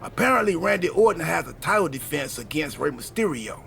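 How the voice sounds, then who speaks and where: Chatty goofy earnest pal in a game, high creaky voice, bit of background noise. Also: male voice, big pitch swings, brisk, fairly bright tone, heavily acted. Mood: upbeat, rambling. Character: chatty, quirky, earnest male friend and time-travel teammate. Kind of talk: video game cutscene dialogue.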